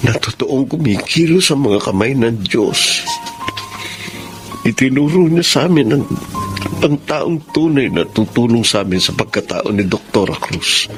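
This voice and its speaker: Earnest humble father, music behind. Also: lowish voice. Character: Carlos, humble poor father, emotional and grateful. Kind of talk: Tagalog radio drama acting with narration.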